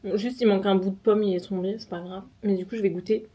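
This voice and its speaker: A woman's voice: mid-range voice, fairly even intonation, fast talker, dark tone. A young woman from France in her twenties, chatting casually and enthusiastically to camera in a home vlog, with many fillers and restarts. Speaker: vlogger. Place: home kitchen.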